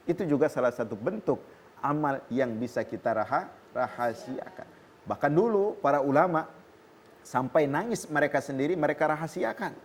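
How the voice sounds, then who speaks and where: A man with an Indonesian accent, a preacher answering a viewer's question on a TV program, explaining calmly and quoting Arabic scripture. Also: fairly high pitch, moderate pace, a little hiss or hum. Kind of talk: religious talk on a television program. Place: television studio.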